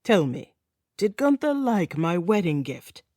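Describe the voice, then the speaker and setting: A woman's voice: on the low side, sing-song, unhurried, warm tone, dry recording, studio quality. A woman doing a chatty, musical-voiced Nordic king. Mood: teasing. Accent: Nordic, put on. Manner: effusive, teasing, sing-song. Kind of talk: audiobook character voice.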